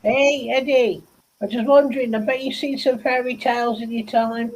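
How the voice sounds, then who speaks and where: A woman's voice: fairly high pitch, steady tone, moderate pace, a little mellow, muffled recording, slight background noise. A woman chatting casually, telling personal anecdotes and laughing along, heard over a video call mic. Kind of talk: casual chat.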